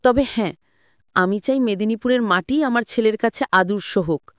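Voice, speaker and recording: A woman voicing a principled young married daughter in an audio story, earnest, gently firm and reasoning. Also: on the high side, normal speed, dry recording, clean studio sound, close to an everyday voice.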